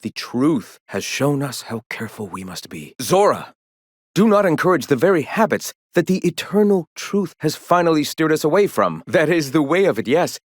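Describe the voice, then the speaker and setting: An actor playing a pompous, serene cult leader in a video game, speaking in a lofty, theatrical, preacherly way with formal diction. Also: male voice, a little high, very animated, moderate pace, no echo, studio quality. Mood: serene, sermonizing. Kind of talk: video game character dialogue acting.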